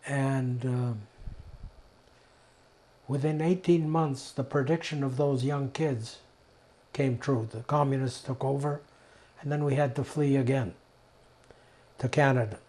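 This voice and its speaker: An elderly man from Czechoslovakia giving personal testimony, telling his story deliberately in short, emphatic phrases with pauses. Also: mid-range voice, fairly even intonation, mellow. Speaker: interviewee, Holocaust survivor giving testimony. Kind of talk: personal oral history testimony.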